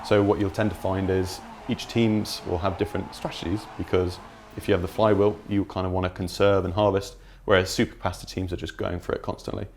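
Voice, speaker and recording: A man's voice: low-pitched, level tone, brisk, hardly any pauses, darkish tone, slight background noise. A man chatting informally and enthusiastically as a game developer explaining features in an interview. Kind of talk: casual explanatory chat.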